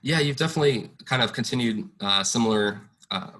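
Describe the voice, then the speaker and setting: A man's voice: medium pitch, brisk, fairly bright tone. A young man interviewing a musician for student radio, asking questions hesitantly with frequent uhs and restarts.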